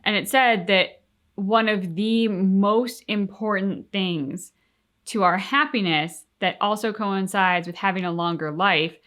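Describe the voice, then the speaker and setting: A woman's voice: medium pitch, slow pace, fairly bright tone. Reflective woman in casual reaction chat. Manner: reflective, emphatic, conversational. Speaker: reaction channel co-host.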